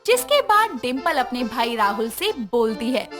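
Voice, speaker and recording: A woman's voice: a little high, very animated, measured pace, music in the background. A woman narrating a scripted story as storyteller.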